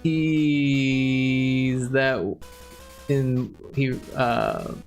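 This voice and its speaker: A man's voice: mid-range voice, steady tone, drawn-out words, faint music behind. Jokey Chicago-accented man streaming. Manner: joking, chuckling, chatty with chat. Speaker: livestream host. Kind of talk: casual livestream chat.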